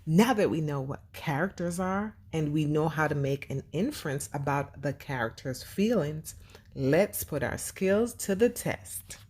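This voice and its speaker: A woman reads a storybook for young children in a slightly low, very lively voice. She takes her time and speaks to the children directly, like a teacher guiding a simple lesson. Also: measured pace, slightly rough. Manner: expressive, addressing children directly with questions and praise. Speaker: children's read-aloud host and teacher.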